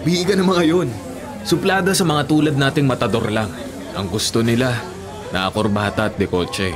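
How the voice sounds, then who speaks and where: A man's voice: medium pitch, fairly even intonation, moderate pace, over music, close to an everyday voice. A man acting an earnest, lovestruck bachelor butcher in a Filipino radio drama, speaking colloquially. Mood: smitten, matey.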